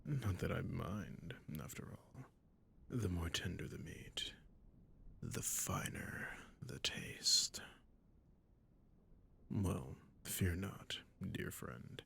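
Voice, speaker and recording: A man playing a sadistic, possessive vampire lord in intimate roleplay audio, with a breathy, gravelly near-whisper and silky, mock-courteous, condescending endearments. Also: deep voice, expressive, drawn-out words, long pauses, a bit bright, full character voice. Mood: menacing, courteous.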